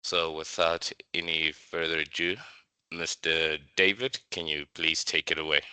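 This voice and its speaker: A man's voice: a bass voice, flat delivery, measured pace, sharp tone, smooth, no echo. A man hosting a webinar, formally and politely welcoming attendees and explaining housekeeping. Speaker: webinar host. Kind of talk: scripted welcome and housekeeping for an online session.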